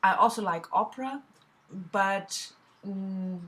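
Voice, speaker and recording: A woman's voice: mid-range voice, takes it slowly, fairly bright tone. A middle-aged woman from southwest Germany with a German accent, chatting casually to camera as a book vlogger, with frequent fillers and restarts.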